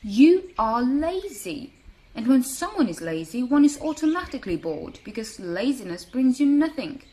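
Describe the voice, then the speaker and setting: A woman voicing a brisk, cheerful young rabbit in a storybook, lecturing emphatically. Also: sits high, big pitch swings, mellow, rough recording. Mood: scolding.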